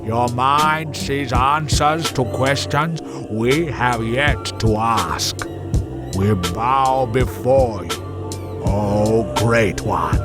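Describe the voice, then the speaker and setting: Man performing reverent mountain monk, extremely slow delivery, solemn, humble, audio drama, loud music. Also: mid-range voice.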